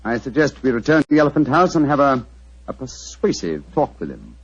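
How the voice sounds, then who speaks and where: A self-assured British gentleman detective in an old radio drama. His delivery swings widely in pitch as he lays out his reasoning with theatrical precision, the voice a little husky. The 1940s recording is muffled and dull. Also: big pitch swings, fairly quick. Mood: brisk, grave.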